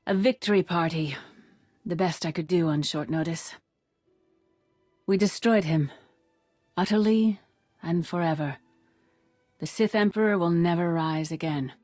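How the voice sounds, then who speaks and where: Older female Jedi master for a game: wise, solemn, measured and formal, lowish voice, dry recording with faint music. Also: a little mellow, dry recording. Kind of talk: video game cutscene acting.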